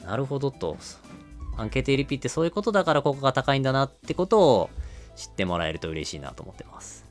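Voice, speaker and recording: A man's voice: medium pitch, big pitch swings, quick pace, faint music behind. A man hosting a podcast, explaining and narrating in a polite, casual way. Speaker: podcast host.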